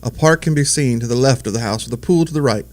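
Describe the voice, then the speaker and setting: A man's voice: medium pitch, fast, a little mellow. A man giving scripted, present-tense narration as the storyteller of an audio drama.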